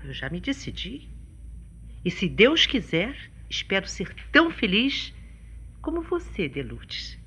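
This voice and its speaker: A gentle, hopeful bride-to-be in a radio soap. She speaks slowly and expressively in a husky, bright-edged voice. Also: mid-range voice, wildly swooping pitch, muffled recording, exaggerated voice. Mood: hopeful, sincere. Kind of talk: radio soap opera acting.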